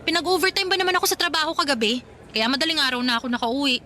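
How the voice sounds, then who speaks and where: A college girl in a radio drama, bright highish voice, chatty and a bit grumbly. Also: very fast, a little hiss or hum. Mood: casual complaining. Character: young working college student, tired, chatty girlfriend.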